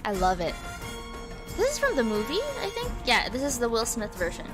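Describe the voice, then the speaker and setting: A woman streamer with a very high voice, chatting casually with her viewers in a bubbly, talkative way and laughing often. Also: wildly swooping pitch, a little fast, over music. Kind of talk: casual livestream chat.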